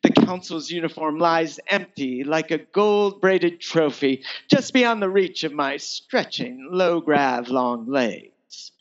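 A man's voice: on the high side, lively intonation, slow pace, few pauses, muffled recording. A man reading his novel as dramatic first-person audiobook narration, theatrical and wry. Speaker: author reading own novel.

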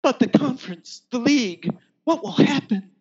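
A man's voice: very high-pitched, lively intonation, normal speed, a little mellow, slightly rough, dull audio, pitched above the performer's own voice, heavily acted. A man voicing an amoral female spy posing as a countess, arch, sardonic and melodramatic, in a first-person space opera audiobook. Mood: feigned worry.

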